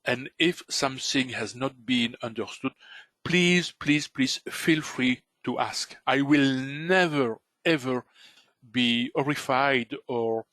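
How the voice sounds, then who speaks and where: A man's voice: mid-range voice, slow, pushed and bright, no echo. Man, Belgian French accent, native French speaker, earnest, careful, course trainer, dry close sound. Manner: earnest, careful, apologetic about his English. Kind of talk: webinar talk.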